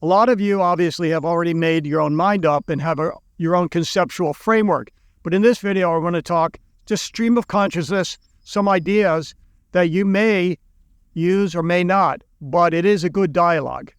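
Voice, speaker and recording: A man's voice: sits high, normal speed, clear, resonant tone, no room sound, studio quality. A middle-aged American man, a college teacher, giving a loose, rambling talk to camera with restarts and asides. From United States. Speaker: college teacher and video lecturer.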